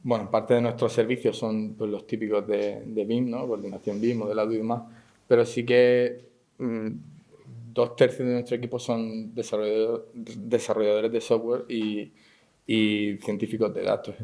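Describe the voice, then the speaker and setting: A man's voice: on the low side, level tone, normal speed, dark tone. A man from explaining things off the cuff as a panelist, often adding tag questions. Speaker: panelist, tech company professional. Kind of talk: unscripted panel discussion.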